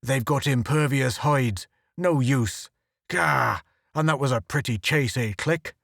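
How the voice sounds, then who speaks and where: A man's voice: medium pitch, slow, gravelly, a bit bright, dry recording, studio quality, exaggerated voice. A man voicing a big, brash Irish adventurer with an Irish accent and a swaggering, joking manner. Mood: gruff. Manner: bluff, swaggering, jokey.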